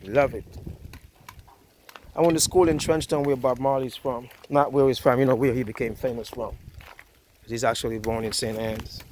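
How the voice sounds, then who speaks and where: A man's voice: mid-range voice, fairly even intonation, normal speed, pauses often, darkish tone, slight background noise. A man with a Jamaican accent hosting a casual cooking vlog outdoors by a wood fire, chatty and easygoing, often saying "yeah, man".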